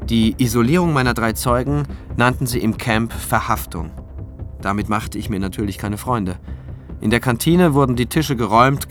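A man playing a dogged prosecutor in a radio drama, measured when narrating and insistent when questioning. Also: medium pitch, a bit bright, lightly acted. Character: dogged male prosecutor narrating his own investigation.

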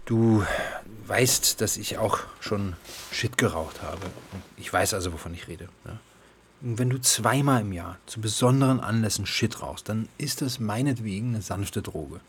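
Irritable, defensive father with noisy ambience. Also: lowish voice. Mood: subdued, uneasy. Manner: halting, lecturing, flares into shouting. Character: irritable father and recovering addict, defensive, quick to explode. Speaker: radio drama actor. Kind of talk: naturalistic German radio play acting.

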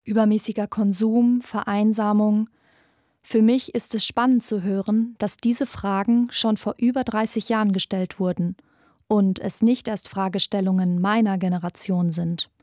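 A woman's voice: mid-range voice, fairly even intonation, normal speed, darkish tone, no room sound, studio quality. A young woman presenting a podcast with scripted narration. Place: dry studio.

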